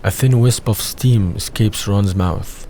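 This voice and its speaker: A man's voice: lowish voice, slow, dark tone. A man reading scripted scene narration for an audio drama. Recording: faint background noise.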